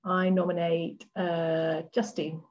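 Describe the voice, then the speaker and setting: A woman's voice: lowish voice, flat delivery, takes it slowly, darkish tone. A woman, a local resident, introducing herself in a friendly, matter-of-fact way. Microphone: video call. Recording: dull lo-fi call audio.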